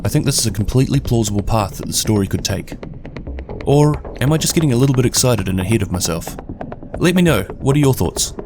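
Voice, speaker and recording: A man with a New Zealand accent delivering scripted narration as a video host. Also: on the low side. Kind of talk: scripted narration of game lore. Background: prominent background music.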